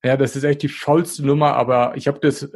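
Guy w on a podcast, kinda husky, blunt n slangy, giving pep advice. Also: mid-range voice, flat delivery, a little fast, a little mellow. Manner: blunt, colloquial, motivational. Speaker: podcast guest, diabetes expert. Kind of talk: casual conversational interview talk.

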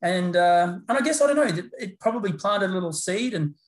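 Kiwi guy in his middle years, kinda high for a man, lots of ums and restarts, echoey room. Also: brisk. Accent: New Zealand. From New Zealand. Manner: relaxed and rambling, many fillers and restarts. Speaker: guest, paramedicine lecturer. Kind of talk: casual interview chat. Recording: roomy echo.

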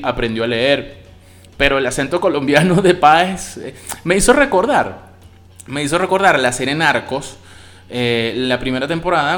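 A man from Venezuela with a Venezuelan Spanish accent, giving a casual, slangy spoken review of a TV series. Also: mid-range voice. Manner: slangy, expressive, swears freely.

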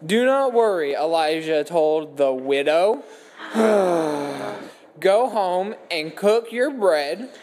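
A man's voice: fairly high pitch, animated, takes it slowly, long pauses, noisy background. A man reading a Bible story aloud from a script as a dramatic narrative. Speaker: scripture reader. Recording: echoey hall with long reverb.

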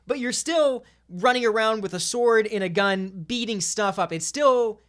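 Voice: medium pitch, unhurried, fairly bright tone. A speaker chatting casually about video games on a podcast in a rambling, stammering way, often repeating phrases.

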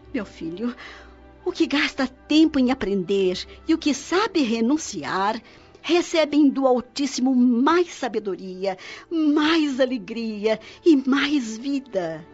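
Radionovela role: loving spirit mother, Brazilian accent, husky higher voice, solemnly teaching her son. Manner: tender, didactic, solemn, preacherly. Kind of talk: radio soap opera acting, instructive monologue. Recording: dull lo-fi radio drama with music.

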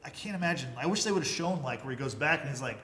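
A man's voice: medium pitch, animated, quick pace, a crowd in the background. A man hosting a podcast, leading a casual conversation about films. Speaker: podcast host steering the discussion. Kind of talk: casual film discussion. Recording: echoey, roomy sound.

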